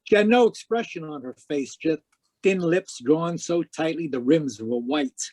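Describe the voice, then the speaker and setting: An actor playing a cynical, wry private eye in terse, slangy 1940s radio detective style. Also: male voice, fairly high pitch, animated, measured pace, a little mellow. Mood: wry, world-weary. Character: cynical wisecracking male hardboiled private eye.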